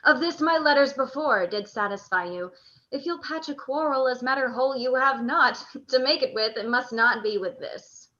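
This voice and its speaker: Woman as proud noble general in a radio play, high bright voice, formal shakespeare talk, bit lo-fi. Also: moderate pace. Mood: defensive, firm. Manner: formal, declamatory. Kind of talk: live radio play acting in Shakespearean verse.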